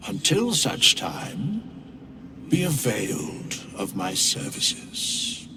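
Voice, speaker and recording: A man voicing an ancient, cold undead sage in a video game, with a gravelly voice and portentous, detached, archaic delivery. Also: mid-range voice, huge pitch swings, extremely slow, heavy background noise, exaggerated voice. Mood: probing, solemn. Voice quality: gravelly, creaky. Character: ancient, cold, solemn undead male sage of cosmic balance. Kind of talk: video game voice acting, archaic oracular speech.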